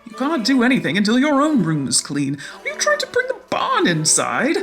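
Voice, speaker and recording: A woman's voice: on the high side, wildly swooping pitch, moderate pace, faint music behind, heavily acted. A woman playing a bossy, scolding lady of a fairy-tale household in a radio play style. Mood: scolding impatient. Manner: imperious, sharp-tongued.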